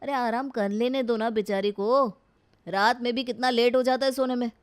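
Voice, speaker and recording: A woman's voice: fairly high pitch, steady tone, moderate pace, smooth, close to an everyday voice. A woman voicing a frustrated young husband caught between mother and wife in a cartoon family story, exasperated and reasoning. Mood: annoyed pleading. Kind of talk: Hindi animated family story acting.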